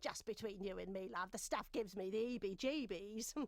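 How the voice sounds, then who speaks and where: A woman's voice: mid-range voice, moderate pace, no room sound, studio quality, close to an everyday voice. A woman voicing a crotchety, coquettish old female dragon in an adventure game, theatrical, giggly and coy. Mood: coy, amused.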